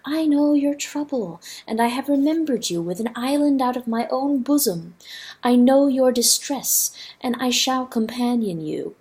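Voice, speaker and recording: A woman voicing a talking river that speaks mildly, with calm, gently mocking wisdom. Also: high-pitched, husky, pitched above the performer's own voice, full character voice. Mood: soothing. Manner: soft, measured, gently mocking. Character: calm, wise talking river, mild and knowing. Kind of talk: audiobook character voice.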